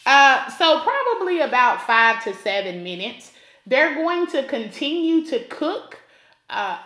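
A woman's voice: a little high, pushed and bright, reverberant. A woman from Alabama hosting a cooking tutorial, talking the viewer through each step and repeating points for emphasis. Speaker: cooking channel host.

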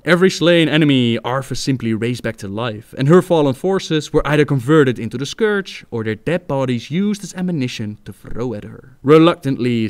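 A man's voice: medium pitch, no room sound. Dry-sounding male narrator. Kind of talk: scripted lore narration.

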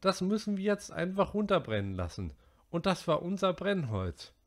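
A man's voice: big pitch swings, normal speed. A man doing Piggy, a whiny fretful schoolboy. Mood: worried. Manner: high, whiny and breathless pleading. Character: chubby anxious schoolboy, fretful and indignant.

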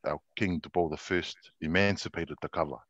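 Deep-voiced man on a dry call. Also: fairly quick, breathy edge, dry recording. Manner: relaxed, with some fillers. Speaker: guest. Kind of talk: casual chat on a video call. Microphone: video call. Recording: dry, dull video-call audio.

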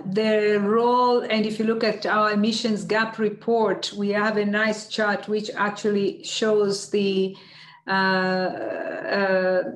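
Middle-aged woman in an echoey room. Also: medium pitch. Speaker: UN environment official, guest speaker. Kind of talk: answering questions at an online seminar. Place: indoors before banners, online video call. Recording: echoey room on video call.

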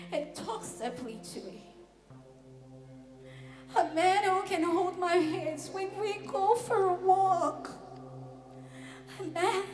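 An actress playing an anguished, emotionally starved woman in a theatrical monologue to her lover, halting and swinging between hushed and loud. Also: sits high. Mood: yearning. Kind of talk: emotional dramatic audition monologue. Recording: roomy echo with faint music behind.

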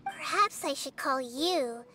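Very high childlike game girl. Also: slow pace, a little hiss or hum, full character voice. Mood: calm, assured. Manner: bright, childlike, earnest. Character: squeaky little fairy girl and wise child deity. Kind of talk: anime game voice acting. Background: game music.

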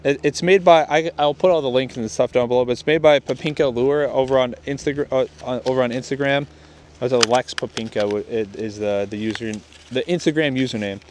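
A man's voice: medium pitch, brisk, background noise. A young man hosting a fishing video, rambling through a casual gear test on a lakeshore and often restarting his sentences. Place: outdoors on a lakeshore. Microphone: chest-mounted camera.

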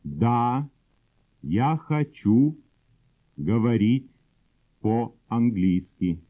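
Very slow male language drill speaker. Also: mid-range voice, expressive. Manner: very slow and deliberate, word by word. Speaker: language instructor. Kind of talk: slow drill sentences for repetition. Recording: husky, phone-like old cassette audio.

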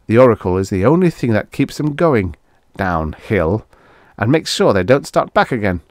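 A man's voice: lowish voice, sing-song, moderate pace, a little mellow, dry recording, clean studio sound. A man voicing an alarmed spaceman in a science fiction story, pleading urgently. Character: urgent, alarmed male spaceman warning of danger.

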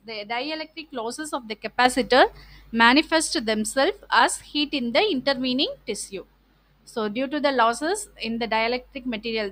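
A woman with a South Indian accent lecturing online from slides, explaining in a halting, repetitive way with frequent okays. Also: a little high, fairly bright tone, dry recording, rough recording. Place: online video call with screen share.

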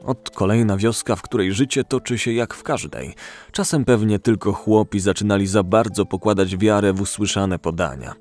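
A man's voice: on the low side, fairly even intonation, normal speed, darkish tone, faint music behind. A man reading scripted narration for a fantasy audio drama as its storyteller.

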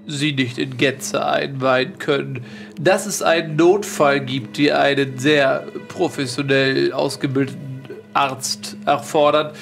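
A man playing a grave, pompous, insistent gentleman in a tabletop game, speaking very formally. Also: medium pitch, vocal fry. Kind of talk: improvised tabletop role-play acting.

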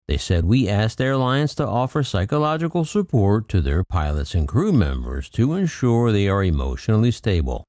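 A man's voice: lowish voice, dark tone, dry recording, studio quality. A man hosting an aviation channel, reading news reports aloud in a scripted commentary and adding wry, skeptical asides. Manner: reads news quotes, then adds wry skeptical asides.

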